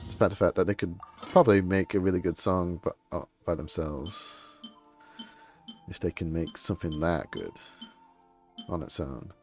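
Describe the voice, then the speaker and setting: A man's voice: sits low, normal speed, pauses often, a little mellow, soft background music. A man streaming a game and chatting casually, rambling through his opinions in an informal, grumbling way.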